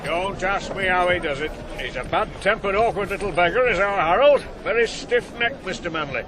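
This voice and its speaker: A man's voice: high-pitched, moderate pace, natural voice. A man acting a shrewd, hearty older farmer in a radio drama. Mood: proud. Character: blustery older farmer, the ploughboy's shrewd boss. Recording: noisy old radio broadcast.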